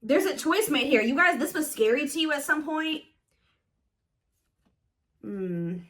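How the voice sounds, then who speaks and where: A woman's voice: high voice, sing-song, measured pace, a bit bright. A woman giving a casual, intuitive tarot reading to viewers, talking in an emphatic, conversational way and repeating herself for emphasis. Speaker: tarot reader, host.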